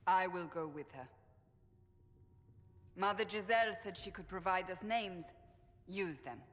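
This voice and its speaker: A woman's voice: on the low side, measured pace, a bit bright, in a large hall. An actress playing a resolute, pragmatic warrior woman in a video game, blunt and decisive. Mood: determined. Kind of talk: dramatic video game dialogue.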